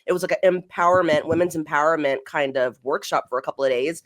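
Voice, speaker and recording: A woman's voice: low voice, quick pace. A young woman hosting a podcast interview, chatty and giggly in casual conversation. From Los Angeles area. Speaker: podcast host.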